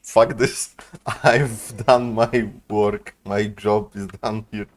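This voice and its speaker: Slangy, sweary young guy reacting, drawn-out delivery. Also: on the low side, little pitch movement. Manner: profane, slangy, incredulous. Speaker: streamer reacting to a game. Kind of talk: casual live game commentary.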